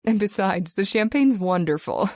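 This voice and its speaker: An actress as a young fiancée in an old-time radio play. She speaks at a medium pitch, affectionate and lightly playful, in a very dry sound. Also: expressive, normal speed, dry recording, natural voice. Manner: gentle, playful.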